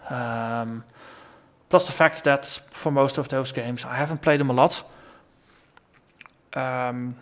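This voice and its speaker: A man's voice: medium pitch, steady tone, slow pace, long pauses. A man hosting a gaming channel, talking through a long casual solo vlog, rambling and thinking aloud as his voice tires. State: voice tiring after a long recording.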